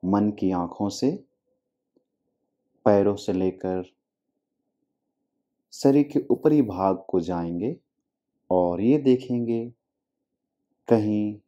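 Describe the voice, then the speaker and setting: A man with a low, mellow voice leads a guided yoga relaxation. He speaks very slowly, in short instructive phrases with long pauses between them. The sound is slightly dull and lo-fi.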